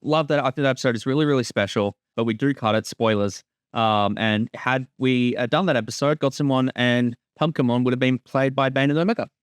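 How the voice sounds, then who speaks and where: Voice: mid-range voice, brisk, few pauses, smooth, no echo, studio quality. A person giving a casual creator's commentary on an episode, chatting informally. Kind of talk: casual director's commentary chat.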